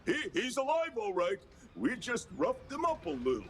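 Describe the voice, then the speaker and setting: A man voicing a blustering, cowardly small-time gangster in a 1930s-style cartoon game, shrill and tough-talking. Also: wildly swooping pitch, normal speed, fairly bright tone, a little hiss or hum, exaggerated voice. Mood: panicked, begging. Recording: music behind the voice.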